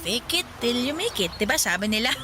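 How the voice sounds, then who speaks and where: A woman's voice: on the high side, big pitch swings, brisk, background noise. An actress playing a cheerful, teasing young woman who sells sweets, in a radio drama. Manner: playful, bantering.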